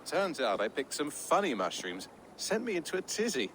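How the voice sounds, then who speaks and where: A man's voice: a little high, moderate pace, a little hiss or hum, low-quality recording. A man playing an easygoing, playful woodland character in a video game, with a breezy manner. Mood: sheepish. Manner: breezy, animated.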